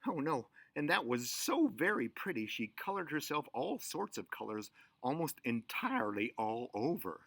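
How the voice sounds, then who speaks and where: A man reading a children's story aloud in an expressive, theatrical way. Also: mid-range voice, normal speed, creaky, few pauses, dry recording. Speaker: storyteller and show host.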